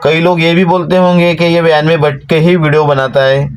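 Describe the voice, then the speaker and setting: A man, giving an earnest, exhorting devotional talk to followers from inside a parked van. His pitch rises when he stresses a point. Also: flat delivery, fairly quick, clear, resonant tone, faint music behind. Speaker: devotional channel host.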